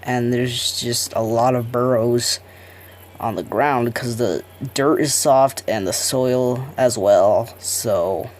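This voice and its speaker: A man playing a fumbling amateur news reporter, halting and self-conscious as he improvises his report and stumbles over words. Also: medium pitch, fairly even intonation, natural voice. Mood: halting, earnest. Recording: noisy homemade recording.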